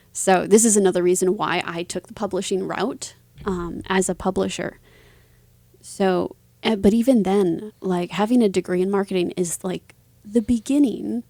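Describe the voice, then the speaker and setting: A woman's voice: medium pitch, steady tone, normal speed, warm tone, no echo. A woman speaking as an interview guest, answering in a casual, chatty, conversational way. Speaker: interview guest, author and publisher.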